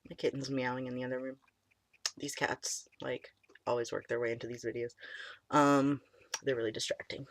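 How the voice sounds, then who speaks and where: A young man in casual chat, his voice cracking and squeaky, deep and stuffy from a cold, rambling with many ums and likes. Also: level tone, normal speed, long pauses, a bit bright. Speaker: vlogger. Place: small room indoors.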